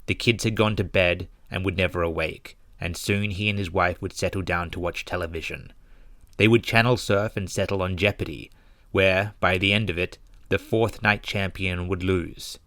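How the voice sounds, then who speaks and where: A man narrating a scripted horror story in a grave, measured, ominous and dramatic manner. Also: deep voice, fairly even intonation, a bit bright, no room sound, studio quality. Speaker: narrator and author of horror short stories.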